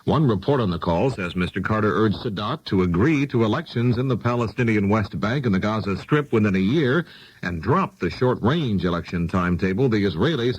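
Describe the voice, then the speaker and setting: A man's voice: fairly low pitch, slightly rough, no echo. An American man working as a news anchor, reading a scripted radio newscast. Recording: dull 1970s AM radio broadcast.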